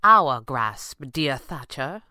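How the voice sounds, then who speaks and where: A man's voice: on the high side, big pitch swings, a little slow, sharp tone, clean tone, dry recording, clean studio sound, heavily acted. Man playing an oily, mock-courteous mercenary villain. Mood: amused. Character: oily, greedy male villain, smooth-talking, cruel mercenary. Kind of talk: dramatised pulp adventure audiobook.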